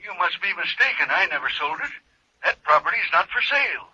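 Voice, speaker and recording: An actor playing a curt, indignant estate agent through a telephone filter in a 1940s radio mystery. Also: male voice, high voice, big pitch swings, brisk, pushed and bright, slightly rough, muffled recording, full character voice. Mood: curt, irritated. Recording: thin telephone effect on old radio.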